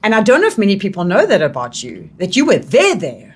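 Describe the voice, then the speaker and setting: A woman's voice: medium pitch, huge pitch swings, fast, slightly rough. Woman in casual conversation. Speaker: radio interviewer. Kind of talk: casual chat.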